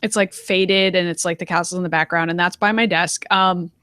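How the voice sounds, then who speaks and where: A woman's voice: fairly low pitch, steady tone, brisk, fairly bright tone, smooth, no room sound, studio quality. A woman co-hosting a casual chat show, chatty and laughing, with lots of filler words. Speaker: podcast co-host.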